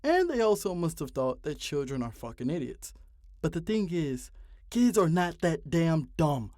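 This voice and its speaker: A man's voice: a little high, sing-song, moderate pace, a little mellow, no echo. Twenty-something guy ranting his video essay, cussing a lot, very dry close sound. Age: 20s. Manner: exasperated, profane, emphatic.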